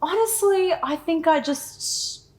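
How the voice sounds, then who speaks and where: A woman's voice: very high voice, takes it slowly, a little mellow. Reflective young Australian woman talking. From Western Sydney, Australia. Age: 20s. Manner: open, reflective, laughs easily. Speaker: yoga teacher and guest. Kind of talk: casual interview chat. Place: studio lounge.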